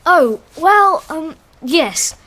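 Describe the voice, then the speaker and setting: An actress playing a sneering, spiteful young schoolboy in a radio drama. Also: female voice, very high voice, lively intonation, very slow, bright, forceful tone, exaggerated voice. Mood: evasive.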